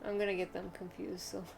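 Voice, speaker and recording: A woman's voice: mid-range voice, gravelly. A woman chatting casually as a video game streamer, musing and often letting thoughts trail off.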